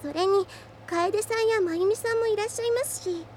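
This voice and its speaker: A woman's voice: very high-pitched, fairly quick, noisy background. A woman playing a sweet, modest and very polite teenage demon princess in an anime audio drama. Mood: flustered.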